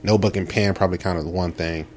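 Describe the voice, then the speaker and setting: A man in his early thirties with a fairly low voice talks in a loose, comedic chat style. He tells stories with animated self-deprecation. There is noticeable background noise on the recording. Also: very fast.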